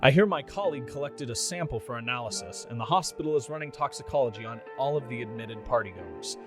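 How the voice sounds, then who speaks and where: A man's voice: mid-range voice, expressive, fast, over music, lightly acted. A man playing an exasperated middle-aged police officer reading out an official report in a radio play. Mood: grim, bewildered. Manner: official, dry, flustered asides. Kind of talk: scripted radio play monologue, formal report.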